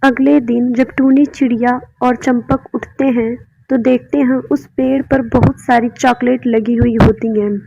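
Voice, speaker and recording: Slow high-pitched woman storyteller, muffled. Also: flat delivery, vocal fry, warm tone. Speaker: narrator. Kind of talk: scripted children's story narration. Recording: muffled, dull sound.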